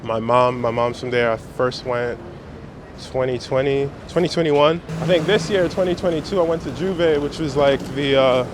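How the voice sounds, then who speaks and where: A man's voice: medium pitch, moderate pace. A man chatting casually and in slang, as a photographer being interviewed. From Brooklyn, New York. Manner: slangy, conversational. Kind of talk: casual chat. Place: outdoors on city streets. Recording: clear outdoor city traffic noise.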